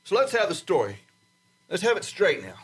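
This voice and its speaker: An actor playing a gruff, skeptical male superior in a science fiction radio drama, blunt and impatient with his pilot. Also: high-pitched, wildly swooping pitch, normal speed, a bit bright, full character voice. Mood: exasperated.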